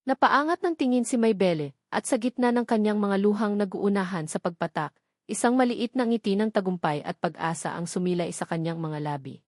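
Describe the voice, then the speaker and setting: A woman narrates a dramatic tale in a medium-pitched voice. Her delivery is measured and made for storytelling. The recording is dry and free of room echo. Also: a little mellow.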